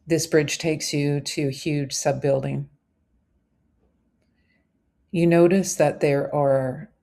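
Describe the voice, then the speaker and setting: A woman narrating a scripted guided visualization as a meditation host, in measured, deliberate phrases. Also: deep voice, gravelly, warm tone.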